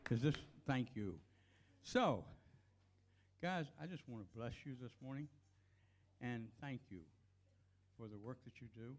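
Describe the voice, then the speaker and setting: A man's voice: mid-range voice, lively intonation, unhurried, pauses often, slight background noise. A man leading a church service, giving blessings and announcements in an earnest, unscripted, encouraging way.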